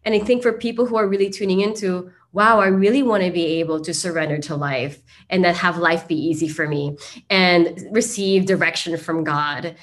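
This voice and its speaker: A woman's voice: lowish voice, fairly even intonation, moderate pace. A woman hosting an interview, musing aloud with enthusiasm and lots of fillers. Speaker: summit host. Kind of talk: interview hosting, spiritual talk.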